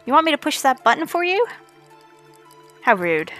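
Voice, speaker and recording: A woman's voice: sits high, sing-song, normal speed, a bit bright, faint music behind. A Canadian woman with a slight Newfoundland lilt, giving chatty, playful live commentary on her speedrun. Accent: Canadian, with a touch of Newfoundland. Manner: chatty and playful, jokes with chat.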